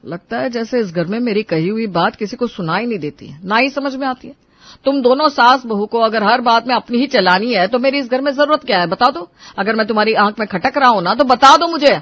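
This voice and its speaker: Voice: mid-range voice, fairly quick, dull audio, lightly acted. A performer voicing a sulky hot-tempered young husband. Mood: angry. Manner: sulky, irritable.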